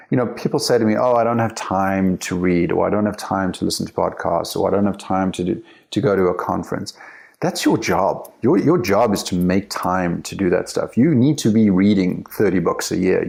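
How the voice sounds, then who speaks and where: Guest: low-voiced South African man with a gravelly edge, frank and forceful in conversation. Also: brisk. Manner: candid, emphatic, laughs easily, repeats words while thinking. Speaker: guest, company CEO and author. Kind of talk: casual interview chat.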